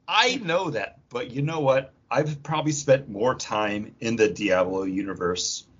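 A man co-hosting a podcast in casual, animated pop culture chat, swearing freely and acting out imagined quotes. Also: mid-range voice, measured pace, husky. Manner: profane, acts out imagined quotes mid-talk. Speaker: podcast co-host. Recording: dull, lo-fi audio.

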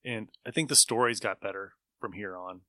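A man hosts a casual podcast discussion in a lowish, bright voice. The sound is close and dry. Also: a little fast, no room sound. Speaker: podcast host. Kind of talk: casual chat.

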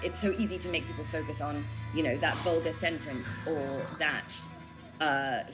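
A woman with a very low voice talks through ideas as an interview guest, music clearly playing behind her. She speaks reflectively and intellectually, searching for the right phrase as she goes. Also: steady tone, normal speed, rough recording. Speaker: interview guest giving political analysis. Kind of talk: reflective unscripted discussion.

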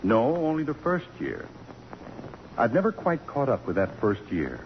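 An actor playing a courtly, wistful middle-aged college president in 1950s radio drama style, gentle and hesitant. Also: male voice, mid-range voice, wildly swooping pitch, measured pace. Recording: dull, noisy vintage radio recording.

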